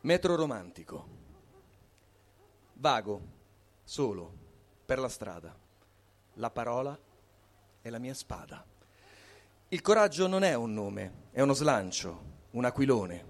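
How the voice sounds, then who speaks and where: Male poetry reader, average pitch, live spoken-word delivery. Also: big pitch swings, slow pace, long pauses. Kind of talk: live introduction and poetry reading.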